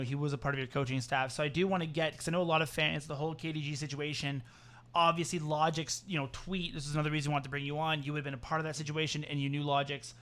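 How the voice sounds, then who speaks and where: Fast-talking, eager male podcast host. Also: fairly high pitch, a bit bright. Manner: eager, long run-on questions. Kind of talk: casual interview hosting.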